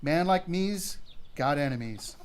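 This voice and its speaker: Actor as a higher-voiced, world-weary western gunman. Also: expressive, measured pace, smooth. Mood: weary, regretful. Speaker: actor in a radio theater. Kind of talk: western radio drama acting.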